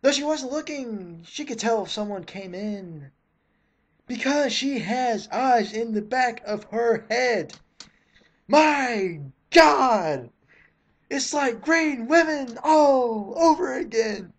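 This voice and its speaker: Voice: mid-range voice, animated, slow. A reader giving a mock dramatic reading in a theatrical, exasperated way, breaking off for sarcastic asides. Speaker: reader of fan fiction.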